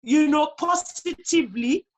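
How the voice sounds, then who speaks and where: High-pitched Nigerian woman, emphatic talker. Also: measured pace. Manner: emphatic, repeats phrases for emphasis. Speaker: guest on a talk show. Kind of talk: conversational interview, personal advice.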